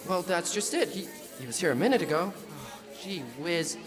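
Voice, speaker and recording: A male performer playing a naive, excitable teenage comic-strip boy, earnest and pleading, in a classic radio comedy style on stage. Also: high-pitched, normal speed, over music. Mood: pleading, flustered. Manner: earnest, pleading, gee-whiz exclamations. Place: school theatre stage. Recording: live stage recording, hall reverb, audience.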